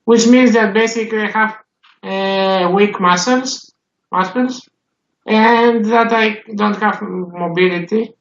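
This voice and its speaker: A woman in her thirties, a journalist and activist, explaining herself in a chatty way in an interview. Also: on the low side. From Greece. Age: 30s. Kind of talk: documentary interview. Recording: roomy, dull, slightly noisy.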